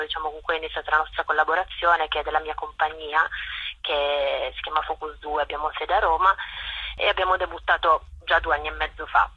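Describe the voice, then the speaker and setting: A woman's voice: deep voice, pushed and bright, husky, no room sound, highs cut off. A woman theatre director answering interview questions thoughtfully, often restarting her sentences.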